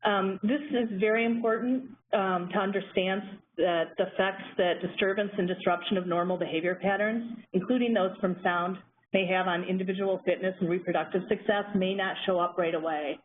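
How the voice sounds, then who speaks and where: A soft-spoken woman giving a measured lecture on a conference call. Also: mid-range voice, steady tone. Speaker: presenter on marine mammals. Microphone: phone line. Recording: tinny phone line, faint noise.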